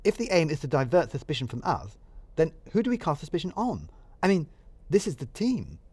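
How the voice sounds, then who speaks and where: A man voicing a sharp-tongued, exasperated female office worker in a story, with emphatic, sardonic delivery. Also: a little high, huge pitch swings, brisk, slightly rough, no room sound, voice pushed up, exaggerated voice. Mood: brisk. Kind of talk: storybook dialogue.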